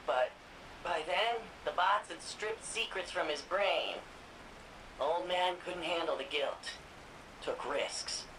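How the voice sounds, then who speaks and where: A woman playing a devoted, wry archivist in a video game, speaking in measured, articulate phrases. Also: fairly low pitch, background noise. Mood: informal, frank. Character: wry, devoted female archivist of a sci-fi city's heroes. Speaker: archivist and memorial guide. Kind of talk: scripted video game voice acting. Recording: echoey room sound. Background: clear music.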